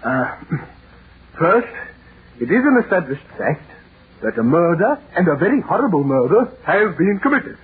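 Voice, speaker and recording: A man's voice: high voice, big pitch swings, a little slow, a little hiss or hum. A fussy, pompous chairman, old radio. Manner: pompous, officious, hesitant orator with formal wording. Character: fussy, pompous male chairman of a lunacy commission. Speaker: actor in radio horror drama. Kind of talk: 1930s radio satire acting. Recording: tinny 1930s radio recording.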